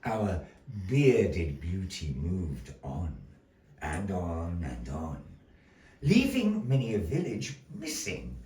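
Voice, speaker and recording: A man telling a dark fairy tale live in a florid, dramatic manner, with deliberate pauses. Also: low voice, huge pitch swings. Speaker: storyteller in a puppet show. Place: indoor gallery performance space. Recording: echoey room, distant mic.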